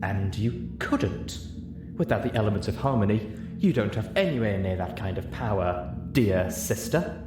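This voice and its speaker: A man voicing a cute, excitable young pony princess, an earnest little sister, for a story reading. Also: fairly low pitch, normal speed, close to an everyday voice. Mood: disbelieving. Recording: echoey room with clear background noise.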